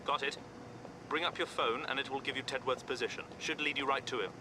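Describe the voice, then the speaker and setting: A man's voice: medium pitch, fairly even intonation, brisk, very noisy, lightly acted. A man playing an efficient intelligence support officer in a video game, talking in a matter-of-fact, helpful way. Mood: focused. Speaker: actor in a spy action game. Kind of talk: cinematic video game acting. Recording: loud music behind.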